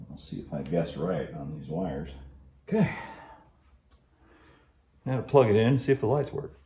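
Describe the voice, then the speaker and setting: Low-voiced middle-aged man, roomy sound. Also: big pitch swings, normal speed, mellow. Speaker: host of model railroad channel. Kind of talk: casual hands-on tutorial narration. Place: indoor home workshop.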